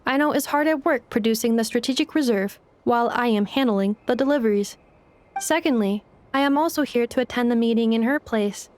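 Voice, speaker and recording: Woman as a high-voiced, polite robot girl. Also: brisk, a little hiss or hum, close to an everyday voice. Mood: neutral, formal. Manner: formal, precise, mechanical phrasing. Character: polite young female robot courier, literal and composed. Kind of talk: robotic video game character acting.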